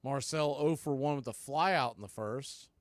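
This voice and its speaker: A man's voice: mid-range voice, normal speed, dry recording. A man doing live play-by-play baseball commentary as a radio host.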